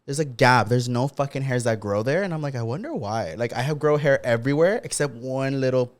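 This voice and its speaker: A man's voice: medium pitch, a little fast. A young man chatting on a podcast with his co-host. He talks in loose, teasing banter full of swearing and slips into Spanish words now and then. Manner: profane, teasing banter with code-switching to Spanish. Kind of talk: casual chat.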